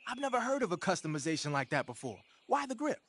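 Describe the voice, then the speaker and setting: An excitable high-pitched comrade. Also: male voice, fast, exaggerated voice. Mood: excited, curious. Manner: eager, questioning. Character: excitable, curious male comrade, easily impressed. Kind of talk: video game voice acting.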